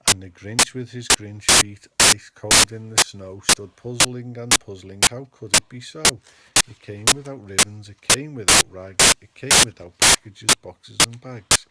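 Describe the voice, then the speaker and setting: A man's voice: lowish voice, unhurried, heavy background noise. A man reading a children's storybook aloud as a podcast host, in a narrating style.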